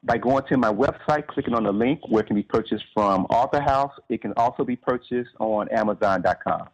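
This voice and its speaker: A man answering interview questions as a guest author, explaining in measured, conversational phrases with pauses. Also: mid-range voice, rough recording.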